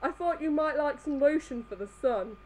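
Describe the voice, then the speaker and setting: A woman's voice: high-pitched, lively intonation, moderate pace, darkish tone, rough recording. A woman reading fan fiction aloud live, stumbling over the text as she goes. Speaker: reader in a group comedy reading.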